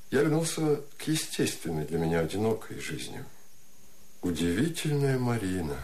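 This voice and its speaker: An actor playing a reflective, tender, solitary male poet reading his letters in a measured, formal way in a radio play. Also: low voice, a little mellow.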